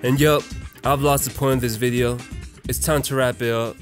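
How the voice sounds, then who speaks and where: A man's voice: medium pitch, steady tone, normal speed, faint music behind. A young man narrating a casual, slangy video essay in an irreverent, conversational, profane way.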